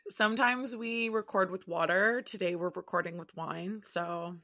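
A woman in her thirties co-hosting a podcast in casual chat from home, chatty and expressive, often laughing. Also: lowish voice, moderate pace. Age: 30s. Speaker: podcast co-host.

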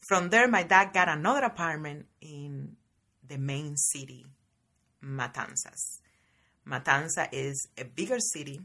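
A woman, hosting a podcast and telling her life story in a chatty, lively way, often calling her listeners guys. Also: low voice, unhurried. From Cuba. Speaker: podcast host. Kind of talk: casual personal storytelling.

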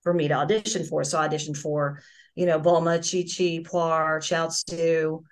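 A woman's voice: low voice, flat delivery, moderate pace. A middle-aged woman, a voice actress and singer, chatting as a guest in a casual interview over a video call, telling stories with many restarts.

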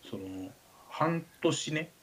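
A man's voice: fairly high pitch, drawn-out words. A man with a Kansai accent chatting casually as a radio host, telling comic anecdotes with acted-out dialogue. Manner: animated storytelling with acted-out dialogue. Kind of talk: casual comedic anecdote chat.

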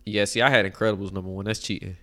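Laid-back man with a low voice. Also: fairly even intonation, rapid-fire, fairly bright tone. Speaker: podcast co-host. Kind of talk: casual podcast chat.

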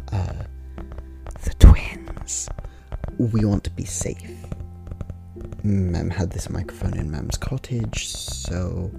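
Performer as anxious podcast host in ASMR roleplay: slow, hesitant, soft and secretive, heavy vocal fry. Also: low voice, full character voice, poor audio. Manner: hushed, hesitant, halting, rambling. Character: nervous podcast host hiding from eavesdropping vampires. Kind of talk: quiet ASMR roleplay monologue.

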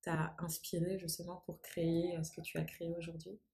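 A woman hosting an interview podcast, reading a scripted intro and then gently asking questions with some hesitation. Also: lowish voice, level tone, fairly quick, vocal fry, husky. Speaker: podcast host.

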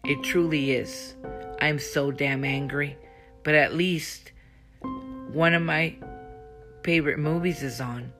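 Fuming protective friend, read by a woman, gravelly edge, music underneath. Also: low voice, steady tone, takes it slowly, long pauses. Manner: bitter, fuming inner monologue.

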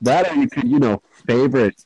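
A man's voice: medium pitch, a little fast, mellow, slightly rough, poor audio. A teenage boy with an Irish accent hosting a casual podcast chat on a home mic, swearing and teasing bluntly. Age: teens. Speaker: podcast host. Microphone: home microphone.

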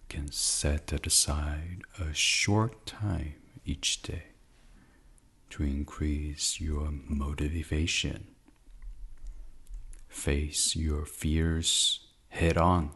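Super deep breathy guy doing a sleep meditation, really slow and soft, almost whispering. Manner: soft, soothing, hushed with breathy near-whispers, long pauses. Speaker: guided meditation host. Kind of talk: guided sleep meditation, ASMR.

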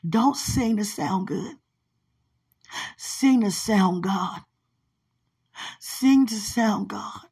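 A woman minister speaking a prophetic word to a singer, drowsy and drifting between firm exhortation and low whispers. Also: very slow, creaky, pauses often, a little mellow, slightly rough. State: drowsy, dozing off.

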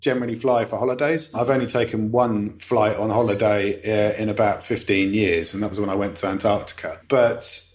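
A man's voice: fairly low pitch, fairly even intonation, brisk, hardly any pauses. A man who is a return guest on a podcast, talking in a witty, wordy and self-mocking way. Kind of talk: casual podcast interview.

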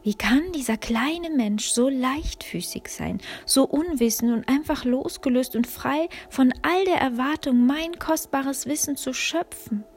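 A woman's voice: high-pitched, a little slow, few pauses, soft background music, pitched above the performer's own voice. Woman whispering as a weary, doubtful old wisdom. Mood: bewildered. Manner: hushed, doubtful and reluctant.

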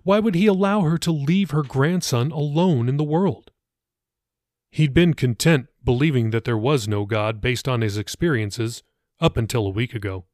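A man's voice: mid-range voice, moderate pace, a little mellow, no room sound, studio quality. A man reading his own fiction audiobook as a scripted narration.

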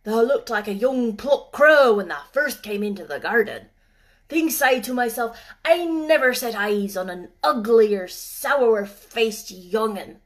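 A woman voicing a sarcastic old male gardener with a broad Yorkshire dialect, blunt, loud and drawling. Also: on the high side, lively intonation, measured pace. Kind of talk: storybook reading.

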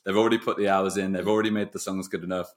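A man with a fairly low voice hosts a casual podcast. He talks quickly and at length, in a discursive, conversational way, building up slowly to each point through asides. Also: flat delivery. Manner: wordy, discursive, long run-up to questions. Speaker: podcast host. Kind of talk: casual podcast interview chat.

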